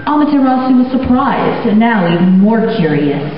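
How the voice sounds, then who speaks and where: A woman narrating a myth live at a convention event, telling it slowly and drawing out the names. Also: fairly high pitch, very animated, slight background noise. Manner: slow, deliberate, stretching out names. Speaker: storyteller. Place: convention event hall with audience. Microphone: distant from the recording mic. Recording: very echoey hall, distant, muffled.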